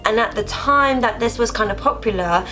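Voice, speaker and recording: A woman's voice: a little high, moderate pace, sharp tone, soft background music, low-quality recording. A woman vlogging casually about her collectibles, chatty and rambling, getting over a cough and coming down with a cold. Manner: chatty, rambling, leans on filler words like "obviously" and "actually". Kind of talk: casual haul vlog.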